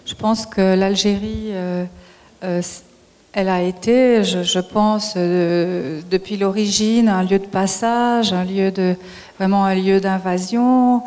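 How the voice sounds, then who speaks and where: A woman speaks on a panel at a public event, slow and hesitant, stopping often to find her words. Her voice is mid-pitched. The hall adds a long reverb. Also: steady tone, darkish tone. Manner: hesitant, many fillers, slow and searching. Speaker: panel guest, author. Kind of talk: public talk answer.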